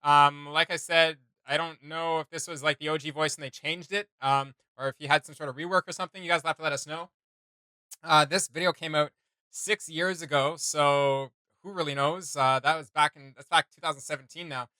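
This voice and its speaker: A man's voice: on the high side, fairly even intonation, fairly quick, pushed and bright, dry recording. A man hosting a reaction video, speaking casually and hesitantly as he gropes for words.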